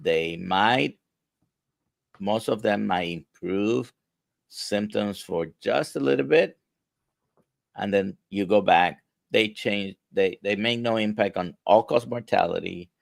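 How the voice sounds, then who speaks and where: A deep-voiced man with a Spanish accent hosts a live stream and speaks in halting bursts filled with "uh." His voice has a gravelly edge and is recorded dry and close, with no room sound. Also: no echo. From grew up speaking Spanish, now near Orlando. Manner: halting, many "uh" fillers, reads viewer questions. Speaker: host, physician. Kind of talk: casual live-stream interview.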